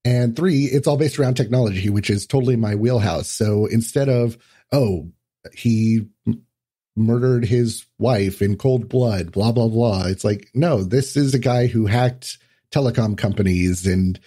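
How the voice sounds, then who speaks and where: A man's voice: mid-range voice, unhurried, mellow, breathy edge. A man talking as a podcast guest in a casual, explanatory, lightly sweary conversational way.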